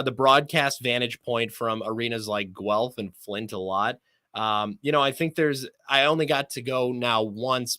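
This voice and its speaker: A man's voice: medium pitch, pushed and bright, dry recording. A man speaking as a sports broadcaster guest in an interview, enthusiastic and wordy.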